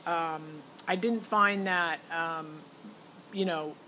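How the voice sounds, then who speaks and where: A woman's voice: on the low side, slow, sharp tone, a little hiss or hum. A middle-aged woman giving a casual, rambling personal account as a parent, chatty and emphatic. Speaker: parent vlogger.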